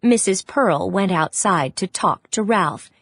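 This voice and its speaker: A woman narrating a children's read-along story in a measured, expressive way. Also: mid-range voice, husky, no room sound, studio quality.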